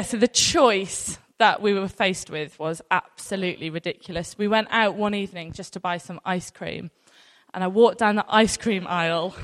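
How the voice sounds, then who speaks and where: A woman in her thirties with a British accent gives an informal sermon to a congregation in a conversational, anecdotal way, using rhetorical questions. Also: mid-range voice. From near North Wales, UK. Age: 30s. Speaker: church speaker. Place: large room, live talk to an audience. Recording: echoey hall with long reverb.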